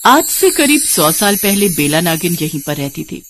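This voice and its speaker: Animated woman narrating a fairy tale. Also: on the low side, moderate pace, background noise. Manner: dramatic storytelling. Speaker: storyteller narrator. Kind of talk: scripted Hindi fairy-tale narration for cartoon.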